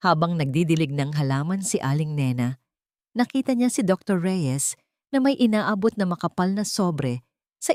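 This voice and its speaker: A woman's voice: fairly low pitch, warm tone, dry recording, studio quality. A woman reading a scripted story aloud in a dramatic narrating style. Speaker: audiobook narrator.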